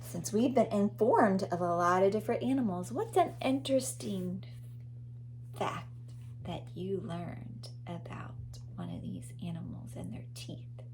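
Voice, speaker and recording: A woman's voice: mid-range voice, expressive, a little slow, a little mellow, a little hiss or hum, rough recording. A woman teacher reading a children's nonfiction book aloud expressively, addressing young listeners.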